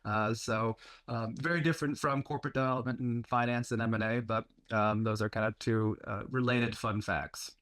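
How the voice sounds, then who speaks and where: A man's voice: medium pitch, fairly even intonation, normal speed, a bit bright. A man from France speaking informally as a professional guest in a business interview. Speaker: interview guest, corporate development executive.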